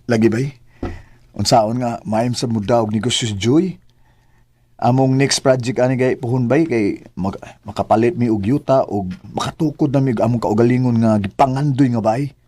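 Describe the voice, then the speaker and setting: A man's voice: mid-range voice, normal speed, warm tone, close to an everyday voice. A man with a Cebuano accent voicing a struggling husband and father in a radio drama, earnest and keen to please his wife. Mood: hopeful. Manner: earnest, says "day" often. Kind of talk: amateur radio drama acting.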